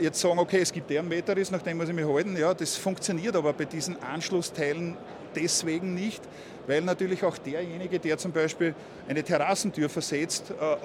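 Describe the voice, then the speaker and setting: A man's voice: on the high side, fairly even intonation, brisk, background noise. A man with an Austrian accent explains technical matters in a colloquial presentation, often adding a checking "ja".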